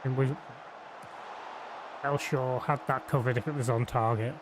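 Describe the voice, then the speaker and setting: A man's voice: medium pitch, steady tone, unhurried, background noise. A man from Yorkshire streaming a football management game, chatting casually and thinking aloud with fillers and restarts. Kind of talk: live game commentary and chat.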